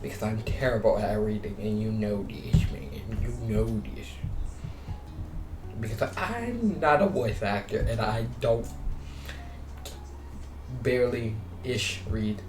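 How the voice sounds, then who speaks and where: A man doing casual gameplay commentary, speaking haltingly and often repeating himself or restarting his sentences. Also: on the low side, big pitch swings. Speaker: video game streamer. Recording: roomy echo with music behind.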